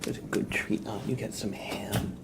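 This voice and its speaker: Whispering, creaky-voiced man doing ASMR. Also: fairly low pitch, level tone, normal speed. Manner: gentle, hushed, chatty. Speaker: ASMR live streamer. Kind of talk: whispered ASMR, casual chat to live viewers.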